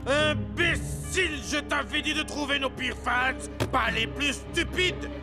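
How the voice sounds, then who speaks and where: A man playing a scheming, contemptuous cartoon villain boss who berates henchmen in a gravelly voice. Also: very high-pitched, takes it slowly, over music. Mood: furious scolding. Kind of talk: animated cartoon dub acting.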